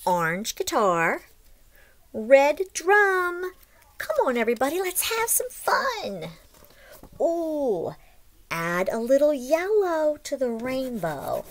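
A woman in her forties reading a picture book to young children in an expressive, sing-song, deliberate way, like a teacher, recorded at home on a webcam microphone. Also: high-pitched. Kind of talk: read-aloud lesson for young children. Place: small room at home, indoors.

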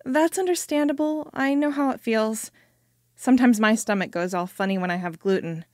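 A woman's voice: a little high, normal speed, dry recording, clean studio sound, natural voice. A young woman playing a sociable, insistent friend in an amateur audio drama. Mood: casual.

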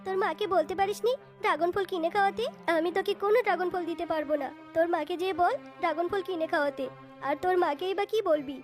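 Woman doing mean snobby tigress mom for a kids cartoon, super high voice, scolding, music behind. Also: fast talker. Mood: scornful, angry. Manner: contemptuous, insulting, threatening. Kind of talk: cartoon voice acting.

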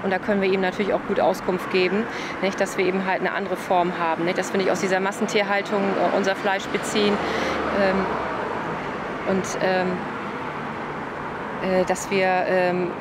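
A woman in her forties gives an interview answer, often adding a tag question. Her intonation is rather flat and her pitch average. Loud outdoor traffic noise surrounds her. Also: normal speed, long pauses. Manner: tags phrases with "nicht?". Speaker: butcher business owner. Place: outdoors in front of a building.

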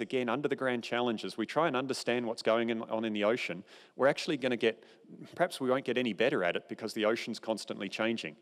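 Middle-aged guy giving a keynote, lowish voice, big echoey auditorium. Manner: explanatory, informal asides. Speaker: keynote speaker. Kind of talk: conference keynote lecture. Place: large dark auditorium stage. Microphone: podium microphone, far camera. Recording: long hall reverb.

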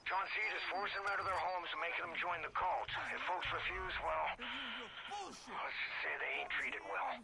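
A crackly two-way radio carries a gruff American resistance ally in a video game. He is gravelly and high-pitched for a man, guiding a rookie in terse, folksy, urgent words. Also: steady tone, moderate pace. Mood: grim. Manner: terse, folksy, plain-spoken. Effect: radio transmission filter.

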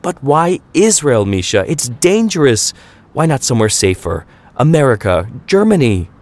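A man's voice: big pitch swings, normal speed, lightly acted. A man putting on a furious ranting mother. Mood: pleading. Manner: shrill, exasperated ranting. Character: furious overwhelmed mother of two, woman.

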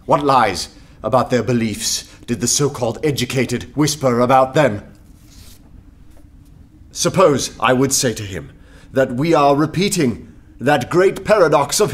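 High-voiced anarchist orator, swooping and earnest. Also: male voice. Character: anarchist poet pleading meekness in a nervous election speech. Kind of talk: radio play oratory.

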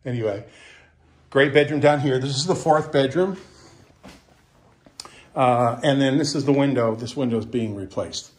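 A man's voice: medium pitch, normal speed, long pauses. A middle-aged man, a real estate agent chatting casually and salesy while he walks through an empty house with a phone camera. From Kentucky. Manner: chatty, folksy, salesy asides with restarts. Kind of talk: casual walkthrough commentary. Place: empty house, indoors with some steps outside.

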